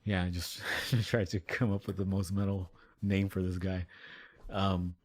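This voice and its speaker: Low-voiced man, rambling commentary. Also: little pitch movement, a little slow, no room sound. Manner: rambling, many fillers, laughs easily. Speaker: musician and video maker. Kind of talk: casual video commentary.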